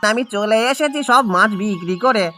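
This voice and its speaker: A man's voice: high-pitched, normal speed, pushed and bright, soft background music. A man voicing a humble, pleading village fish seller in a cartoon, deferential and imploring. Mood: polite, eager. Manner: deferential, imploring, calls out like a vendor. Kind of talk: cartoon voice acting in Bengali village story.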